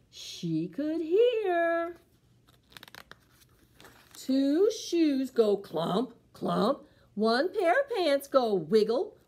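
A middle-aged woman reads a children's story in a high, very animated voice. She takes her time and leans dramatically on the sound words, as a storytime reader does for preschoolers. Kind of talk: expressive preschool picture-book read-aloud.

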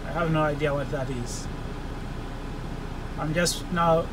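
A man in his forties, a truck driver, thinking aloud as he works through a screen in the cab of his parked truck, hesitant and halting. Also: fairly high pitch, fairly even intonation. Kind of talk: casual vlog walkthrough. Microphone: phone camera held in the cab. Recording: engine and fan noise in a truck cab.

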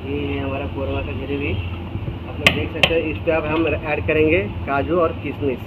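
A man presents a recipe in a casual, instructional way. His voice sits a little high for a man. It is recorded in a very noisy kitchen, with a loud roar behind him. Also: flat delivery, moderate pace, phone-quality sound. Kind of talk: casual cooking demonstration narration. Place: restaurant kitchen, indoors.

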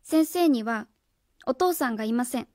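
A woman's voice: fairly high pitch, lively intonation, normal speed, warm tone, dry recording, clean studio sound, close to an everyday voice. A woman playing an earnest, proud, idealistic schoolteacher in a radio drama, speaking formally and with indignation. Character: earnest, proud, idealistic female junior high school teacher. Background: music.

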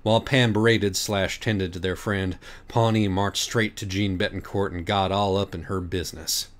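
Wry man narrating, low-pitched. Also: steady tone, moderate pace. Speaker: audiobook narrator. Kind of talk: animated scripted narration of comic fiction.

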